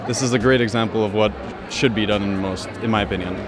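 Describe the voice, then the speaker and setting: A man's voice: lowish voice, fast talker, music in the background. A young man, a student, answering interview questions enthusiastically and chattily in a university canteen. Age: young adult. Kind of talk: vox-pop answers. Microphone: handheld microphone. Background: crowd chatter.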